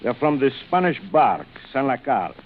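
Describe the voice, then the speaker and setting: An actor playing a suave, cruel aristocratic older general who hunts men, in urbane, theatrical 1940s radio thriller style with savored pauses. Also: male voice, mid-range voice, sing-song, close to an everyday voice. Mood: coolly menacing. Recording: muffled, noisy vintage radio recording.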